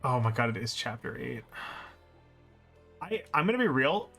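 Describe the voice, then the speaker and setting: A man's voice: medium pitch, big pitch swings, normal speed, pushed and bright, breathy edge, soft background music. A man giving casual, exclamatory commentary while he plays a video game on a livestream. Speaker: speedrunner streamer playing and commenting.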